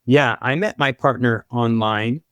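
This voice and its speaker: A man's voice: mid-range voice, unhurried, a bit bright, clear, resonant tone, dry recording, studio quality. A man from Southern California with an American accent, chatting casually as a podcast guest. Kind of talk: casual interview chat.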